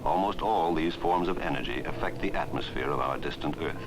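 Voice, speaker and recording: A man reading scripted narration for a 1960s educational film in a measured, formal way. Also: extremely low pitch, low-quality recording. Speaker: documentary narrator. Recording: 1960s film soundtrack, loud music. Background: loud background music.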